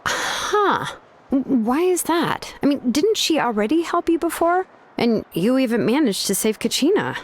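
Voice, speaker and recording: A woman's voice: high-pitched, very animated, measured pace, exaggerated voice. An actress playing an easygoing, candid young woman in an anime video game, speaking in a casual, slightly hesitant way. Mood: curious.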